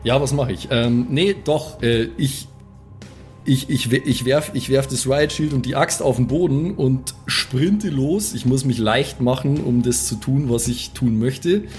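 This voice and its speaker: A man with a mid-pitched voice improvises as a player in a tabletop role-playing game. He describes his actions casually, halting often as he thinks aloud. Soft music runs underneath.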